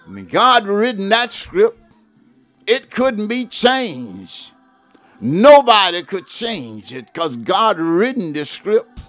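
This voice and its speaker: A man preaching a fervent gospel sermon, shouting and half-singing his phrases and repeating "what I'm saying" as a filler. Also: high voice, no room sound.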